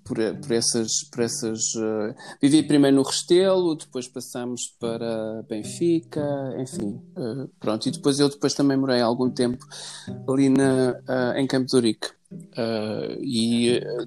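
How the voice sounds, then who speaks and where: A man with a Lisbon Portuguese accent chatting casually on a podcast, pausing often with fillers and restarting his sentences. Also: medium pitch, darkish tone. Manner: hesitant, many fillers and restarts, jokey asides. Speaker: podcast guest.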